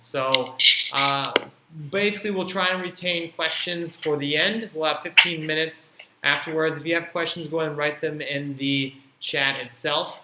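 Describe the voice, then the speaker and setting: Man hosting an online panel, echoey room. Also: mid-range voice. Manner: loose, filler-heavy explaining. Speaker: host and platform founder. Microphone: video call. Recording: echoey room with faint noise.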